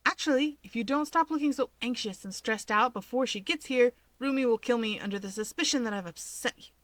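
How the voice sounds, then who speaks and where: A woman voicing a wry, harried young male hero with brisk delivery in an audio story. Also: on the high side, fairly bright tone, dry recording, pitched above the performer's own voice, close to an everyday voice. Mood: exasperated.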